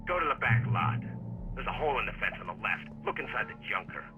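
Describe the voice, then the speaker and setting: A man's voice: medium pitch, big pitch swings, quick pace, phone-quality sound, music in the background. A man acting a threatening, folksy male kidnapper giving orders over the telephone in a video game. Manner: threatening, folksy bad grammar.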